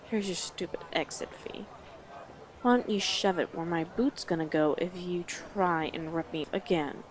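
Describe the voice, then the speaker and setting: A woman's voice: lowish voice, measured pace, slight background noise, natural voice. A woman playing a brash, teasing female orc guide in a fantasy audio roleplay, with a blunt, sardonic manner. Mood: confrontational.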